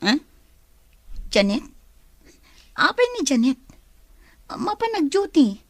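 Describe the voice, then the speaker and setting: Woman acting sneaky jealous young gal in radio drama, highish voice, very up n down. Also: big pitch swings, slow pace, dry recording, exaggerated voice. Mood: flustered.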